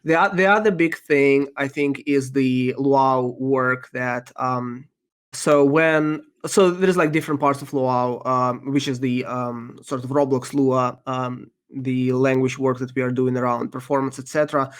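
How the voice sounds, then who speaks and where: Russian-native man on a podcast, mid-pitched, pausing and restarting a lot while he thinks. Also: fairly even intonation, smooth. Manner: thoughtful, halting, many ums and restarts. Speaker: podcast guest, software engineer. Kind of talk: casual interview answers.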